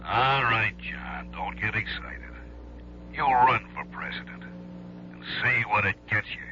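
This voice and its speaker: An actor playing a smug, menacing union boss in a radio drama, condescending and coolly threatening. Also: male voice, on the low side, measured pace, sharp tone. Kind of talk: vintage radio drama acting. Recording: dull old radio recording with hum.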